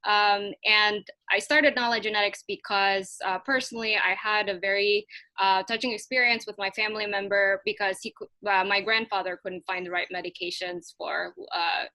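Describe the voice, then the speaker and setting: A woman startup founder answers on a panel in a bright, forward voice, recorded close and dry. Her pitch is middling, and she speaks informally, often correcting herself as she goes. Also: fairly even intonation, moderate pace, no echo, poor audio. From Indonesia.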